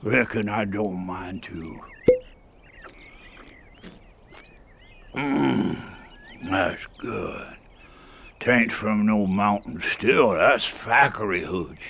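A man playing a gravelly old squatter. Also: lowish voice, lively intonation, slight background noise, full character voice. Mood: wary. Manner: slow drawling, gravelly, drops to hushed whispers. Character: old backwoods mountain squatter man, superstitious and wary. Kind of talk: radio drama acting.

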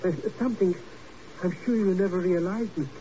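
Man acting sly greedy schemer, soft mellow high voice, ingratiating stammer, hissy 1950s radio drama. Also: brisk, lightly acted. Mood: sly, wheedling. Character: wheedling, greedy, hypocritical male schemer, an agent's sidekick. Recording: muffled, noisy old 1950s radio recording.

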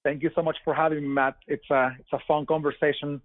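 A Venezuelan man in his forties with a Venezuelan Spanish accent and a fairly high voice. He chats casually as an interview guest, restarting words and leaning on "you know". The sound is dry and thin, like a phone line on a web call. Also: little pitch movement, fast, no room sound. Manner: repeats words, many "you know" fillers, laughs easily. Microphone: over a web call.

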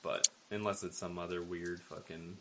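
A man's voice: deep voice, fairly even intonation, moderate pace, fairly bright tone. A man co-hosting a casual podcast about fights and betting, blunt and heavy on swearing. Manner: profane, blunt, complaining. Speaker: podcast co-host.

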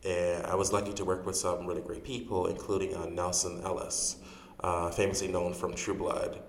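A man's voice: deep voice, flat delivery, normal speed, echoey room, long reverb tail, slight background noise. A man in his forties from Chicago, chatting animatedly as a guest in an interview and laughing often. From Chicago, USA. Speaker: guest, drag performer and actor. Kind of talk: casual interview.

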